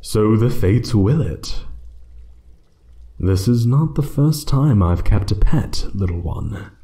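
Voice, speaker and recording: A man voicing an ancient, playful yet menacing dragon in a fantasy ASMR roleplay, speaking theatrically and condescendingly, sometimes hushed. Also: lowish voice, animated, slow. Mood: smug, condescending. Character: ancient male dragon, playful, menacing hoard guardian toying with intruder.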